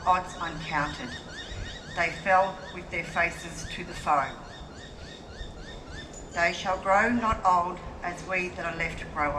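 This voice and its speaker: A woman reciting a poem at a memorial service in a solemn, deliberate way. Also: fairly low pitch. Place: outdoor dawn memorial service. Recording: echoey, distant, noisy outdoor recording.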